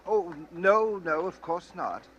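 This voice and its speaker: An actor playing a nervous, genteel, suspicious bachelor in an old-time radio drama, hesitant and stammering but polite. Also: male voice, high-pitched, expressive, takes it slowly, a bit bright, muffled recording, heavily acted. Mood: uneasy. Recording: faintly noisy old radio recording.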